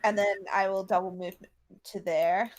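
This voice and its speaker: A woman's voice: medium pitch, steady tone, moderate pace. A woman playing in a tabletop game, chatting casually and bantering playfully. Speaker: player.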